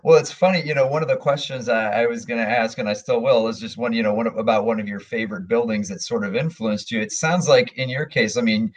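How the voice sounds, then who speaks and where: A man hosting an interview podcast, asking questions in a friendly, halting way with frequent fillers. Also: mid-range voice. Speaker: podcast host.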